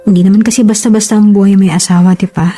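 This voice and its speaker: A woman's voice: mid-range voice, fairly even intonation, very fast, dark tone, slightly rough, soft background music, close to an everyday voice. A woman playing a devoted, hurt bride-to-be in a relationship drama, speaking emotively with a Filipino accent. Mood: defensive, devoted. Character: long-suffering, devoted bride-to-be, hurt and defensive.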